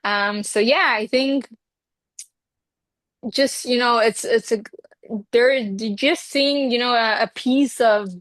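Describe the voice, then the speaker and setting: A woman's voice: on the high side, slow, pauses often, a bit bright, no echo. A woman in her twenties whose first language is Hebrew, a Reiki healer speaking in an interview in an earnest, rambling way with many fillers. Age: 20s. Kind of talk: casual interview talk.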